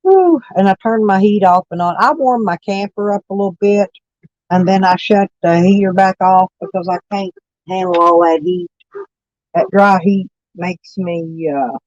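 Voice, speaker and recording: Hoarse, stuffy-nosed woman chatting casually. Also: mid-range voice, unhurried, darkish tone, dry recording, dull audio. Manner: rambling, folksy, self-correcting. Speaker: vlogger chatting with live viewers. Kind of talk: casual morning chat. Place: inside a camper van.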